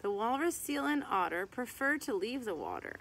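A woman's voice: on the high side, big pitch swings, a bit bright, low-quality recording. Woman reading aloud outdoors, some background noise. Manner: deliberate, phrase-by-phrase reading with short asides. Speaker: teacher reading a picture book aloud to children. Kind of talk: read-aloud of a children's picture book. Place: outdoors in a garden beside a tree.

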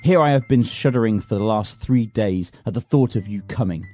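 A man playing a bitter, self-loathing poor man who swings from shouted rants to low, brooding talk. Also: fairly quick, faint music behind, close to an everyday voice. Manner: melodramatic, lurching from shouted rants to low narration. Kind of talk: comic amateur drama reading.

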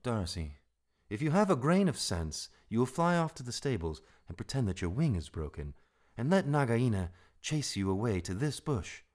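A man voicing a small, brave male mongoose in a storybook, brisk and impatient, with a scolding manner. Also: mid-range voice, big pitch swings, darkish tone, voice pushed up, close to an everyday voice. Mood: exasperated, urgent.

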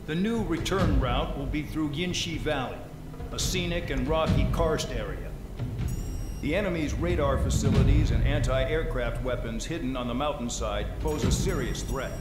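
A man's voice: mid-range voice, normal speed, close to an everyday voice. A man playing a stern, contemptuous military officer giving a scripted video game briefing in a cold, commanding manner. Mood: businesslike. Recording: echoey hall reverb, loud music behind.

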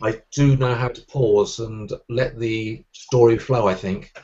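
A man in his forties with a Southern English Sussex accent, a magician speaking with crisp diction and quick energy in a casual video-call interview. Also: medium pitch, dull audio, slight background noise. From England. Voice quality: clear diction. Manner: fast, energetic talker, gestures while speaking. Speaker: magician guest. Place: room at home, indoors. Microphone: webcam on a video call.

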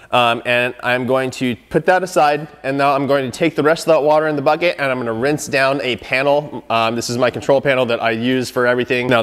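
A man in his thirties, a professional car detailer, casually explaining a product test in his shop with frequent fillers and restarts. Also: mid-range voice.

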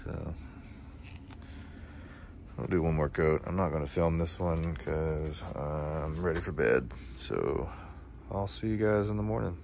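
Slow, gravelly low-voiced man. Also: slight background noise. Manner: relaxed, easygoing, thinking aloud. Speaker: hobby boatbuilder filming his project. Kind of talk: casual workshop vlog. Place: cluttered home garage workshop.

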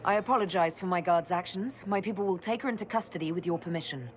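An actress voicing a young woman who leads an order in a video game, speaking directly with crisp questions. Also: lowish voice, fast, soft background music, lightly acted. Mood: steady.

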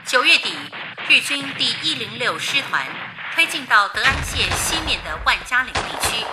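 An actress playing a formal 1930s wartime radio news announcer reading bulletins in a radio drama. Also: female voice, on the high side, sing-song, normal speed, over loud music, rough recording. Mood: urgent.

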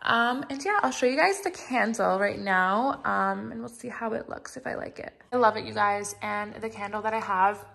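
A woman's voice: mid-range voice, moderate pace, gravelly, hardly any pauses, a bit bright. A young woman in her twenties chatting casually to her viewers at home, upbeat and filler-heavy, filmed on a phone in her kitchen. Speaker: vlogger. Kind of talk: casual vlog chat.

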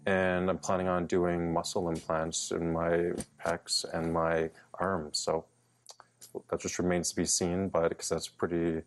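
A man's voice: low voice, little pitch movement, a little slow, a little hiss or hum. A young man answering interview questions in a self-assured, vain way, leaning on fillers like basically. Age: young adult. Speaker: interviewee, model.

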